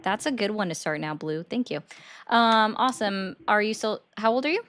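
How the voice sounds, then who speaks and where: A woman's voice: medium pitch, animated, fairly bright tone. A woman hosting a political call-in livestream, arguing bluntly with callers and mocking them. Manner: blunt, argumentative, quick to mock. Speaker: livestream host taking callers.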